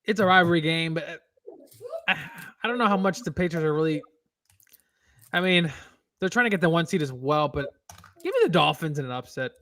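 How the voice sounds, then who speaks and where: A man's voice: a little high, lively intonation, brisk, long pauses, pushed and bright. A man co-hosting a casual football picks show, loose and filler-heavy, laughing along. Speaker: sports picks co-host. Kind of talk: casual sports betting chat.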